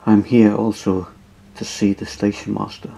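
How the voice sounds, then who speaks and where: A blunt, gruff tracker with a low, gravelly voice, as a man puts him on. He speaks dark and heavy, like a rough figure in a storybook. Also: level tone, moderate pace, rough recording. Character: gruff male tracker with his dog.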